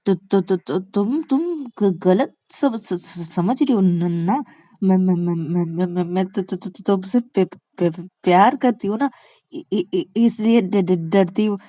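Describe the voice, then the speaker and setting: A woman voicing a nervous, flustered young woman in love who stammers heavily and speaks haltingly in an audio story. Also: mid-range voice, mellow, no echo, lightly acted. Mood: panicky, lovestruck. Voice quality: heavy stammer, repeating syllables. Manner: halting, scared, hesitant.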